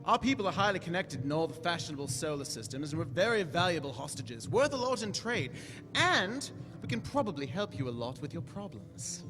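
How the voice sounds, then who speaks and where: A man's voice: on the high side, big pitch swings, a little fast, music in the background. A man playing a clever, eccentric alien time traveller, wry and confidently explaining, in a live audio drama. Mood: persuasive. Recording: echoey hall stage recording.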